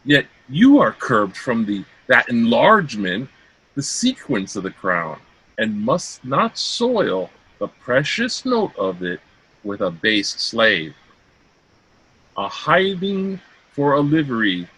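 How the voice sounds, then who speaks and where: Man playing a haughty young Shakespearean suitor, bright, swooping delivery. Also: mid-range voice, wildly swooping pitch, breathy edge, full character voice, rough recording. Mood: scornful. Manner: declamatory, drawn-out phrasing in archaic verse. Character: boorish, spoiled young princely suitor, haughty and scornful. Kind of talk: amateur Shakespeare play reading. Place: remote online video-call reading.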